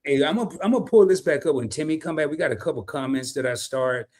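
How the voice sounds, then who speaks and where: Animated man co-hosting a live stream. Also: a little high. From family from Arkansas and Chicago, lived in California. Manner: animated storyteller who drops into quick asides and reads comments in voices. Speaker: co-host of a live discussion stream. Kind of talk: casual live-stream talk, reading viewer comments aloud.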